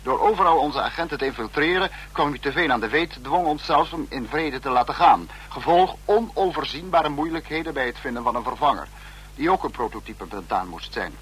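An actor playing an uneasy, calculating totalitarian dictator who lectures sternly and deliberately, in an old radio drama style. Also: male voice, a little high, animated, fairly bright tone, husky. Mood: stern, brooding. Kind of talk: mid-century Dutch radio drama acting.

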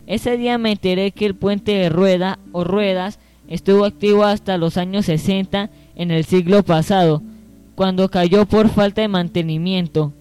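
A Colombian man acts out a young small-town sceptic in an amateur radio drama. His voice is very high for a man. He is courteous, with a slight teasing edge. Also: steady tone, moderate pace, a little mellow, clear, resonant tone, soft background music, natural voice. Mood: informative.

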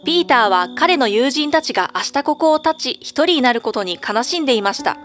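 A woman's voice: sits high, animated, fairly quick, a bit bright, soft background music. A woman narrating a scripted children's fairy tale as a storyteller.

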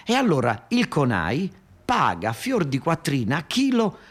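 A man's voice: on the high side, wildly swooping pitch, a little slow, slightly rough. A man with a southern Italian accent performing a theatrical narrative monologue in a colloquial, emphatic, repetitive way. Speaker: actor-storyteller.

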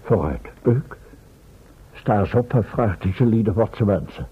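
An actor playing a timorous, pompous professor in a radio play, husky and hesitant, stammering when alarmed. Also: male voice, fairly low pitch, lively intonation, unhurried, full character voice. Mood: baffled, haughty. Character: fussy, timorous male professor, proud of his knowledge. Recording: muffled, band-limited old radio play recording.

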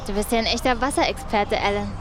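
A woman's voice: mid-range voice, fast talker, very noisy, natural voice. An actress playing an inquisitive woman reporter in a radio drama documentary. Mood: probing, composed.

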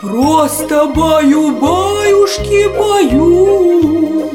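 Actress as a lullaby crooner, high voice, extremely slow, echo and prominent music, children's audio play. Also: female voice, exaggerated voice. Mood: crooning. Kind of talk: slow theatrical lullaby, half sung. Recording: echoey, music under the voice.

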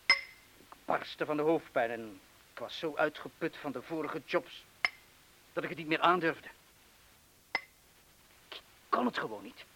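A man's voice: fairly high pitch, measured pace, long pauses. Self-doubting bomb man, hissy recording. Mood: bitter confession. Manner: colloquial, bitter self-deprecation. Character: self-doubting wartime bomb disposal man, confessing past cowardice. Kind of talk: Dutch radio play acting. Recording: hissy old radio play recording.

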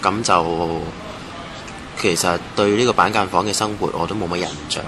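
A man from Hong Kong with a local accent, giving thoughtful, halting interview answers with some English words mixed in. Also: low-pitched, fairly even intonation. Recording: clearly noisy ambient background. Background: ambient noise.

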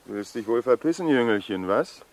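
A man plays a wartime German lieutenant in a radio drama. His voice sits at a middle male pitch. He talks with sarcasm and bullying menace, toying with whoever is in front of him. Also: animated, brisk, a little mellow, close to an everyday voice. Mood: quietly menacing. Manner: mocking, bullying, sneering. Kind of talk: radio play acting.

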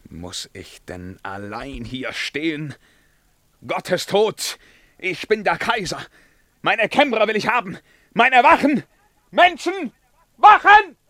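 An actor playing a tormented young emperor in a verse radio drama, declamatory, moving between hushed dread and outcry. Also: male voice, high-pitched, very animated, slow pace, long pauses, dry recording, studio quality, exaggerated voice. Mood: panicked, commanding. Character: tormented young emperor breaking free of his bewitching lover.

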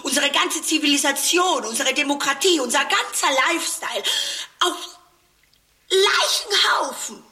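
An actress playing an agitated, garrulous wife in a satirical radio play, rambling indignantly and breaking off mid-sentence. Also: female voice, high voice, lively intonation, moderate pace, bright, forceful tone. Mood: outraged.

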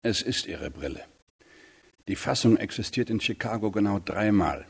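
An insistent male detective in a radio drama. The voice is low and very husky, with terse, probing delivery. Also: lively intonation, normal speed, exaggerated voice. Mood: stern, probing. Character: blunt, insistent male police detective questioning suspects. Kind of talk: radio play acting.

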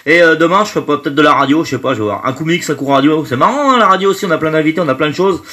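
A man's voice: a little high, expressive, racing, pushed and bright. Stuffy-nosed chatty man hosting a stream. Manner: chatty, greets viewers by name, trails off with fillers. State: stuffy from hay fever. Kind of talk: casual live stream chat greeting viewers. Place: at home.

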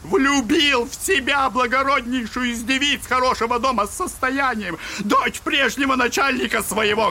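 A man's voice: very high voice, slight background noise, heavily acted. An actor playing a ranting, clownish, spiteful old father in an audio drama, theatrical and whining with mock piety. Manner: theatrical, whining, mock-pious wailing and shouting. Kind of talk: Russian classic audio drama acting.

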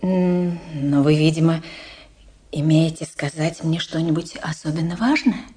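An actress playing an anxious, dignified middle-aged princess and mother in a radio play, with halting, breathy delivery and emphatic pauses. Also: sits low, dark tone. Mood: uneasy.

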